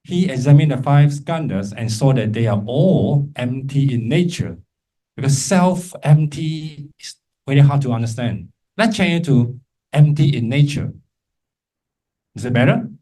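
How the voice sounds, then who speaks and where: A man's voice: medium pitch, dark tone, rough recording. Man teaching, frequent check-in questions. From Malaysia. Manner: encouraging, informal, frequent check-in tags like "right?" and "okay?". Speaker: Buddhist monk teaching a class. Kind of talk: interactive lecture to a class with remote listeners.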